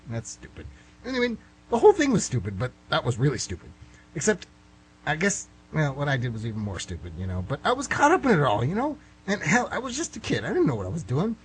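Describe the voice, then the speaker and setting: A man's voice: fairly high pitch, exaggerated voice, low-quality recording. A man acting a flustered, middle-aged guy who nervously rambles and corrects himself in an amateur radio drama. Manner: nervous, halting, self-correcting, excitable. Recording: slightly rough amateur radio recording.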